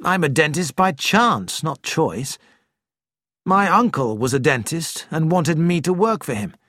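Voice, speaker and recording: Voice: mid-range voice, big pitch swings, measured pace, fairly bright tone, dry recording, studio quality. A narrator voicing a restless young male dentist who speaks earnestly and plainly.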